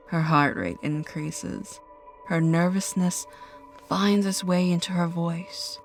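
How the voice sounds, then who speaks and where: Slow, low-pitched woman narrator. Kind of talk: scripted dramatic story narration.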